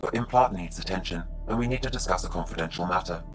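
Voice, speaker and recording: A man's voice: sits low, fast, faint music behind, close to an everyday voice. A man playing a businesslike officer passing a message over comms in a video game. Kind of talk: video game cutscene acting.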